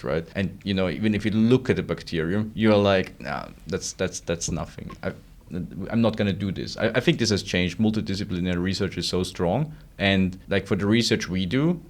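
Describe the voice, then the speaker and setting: A middle-aged man speaking casually and self-deprecatingly as a research group leader answering interview questions. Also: fairly low pitch.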